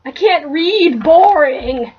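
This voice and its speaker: Woman, high voice, podcast co-host, comic casual banter, exaggerated exclamatory griping, muffled lo-fi audio. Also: animated, takes it slowly. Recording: dull lo-fi recording.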